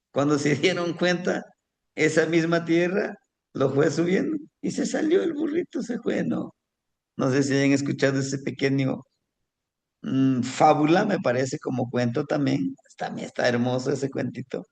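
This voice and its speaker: A man from Mexico, a native Nahuatl speaker with a Mexican Spanish accent, telling a personal story to a class in a slow, reflective way. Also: fairly high pitch, expressive, creaky. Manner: slow, reflective, warmly addresses listeners as family.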